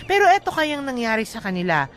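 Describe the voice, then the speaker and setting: A woman's voice: a little high, very animated, fast, a little hiss or hum. A woman with a Filipino accent co-hosting a radio show, chatting in a playful, teasing way. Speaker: radio DJ co-host. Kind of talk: casual radio banter.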